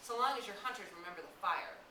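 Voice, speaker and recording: A woman's voice: mid-range voice, expressive, fast talker, bright, forceful tone. A woman reading the part of a practical, serious boy leader in a storybook, earnest and reproachful. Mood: concerned. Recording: roomy, distant mic, clear hiss.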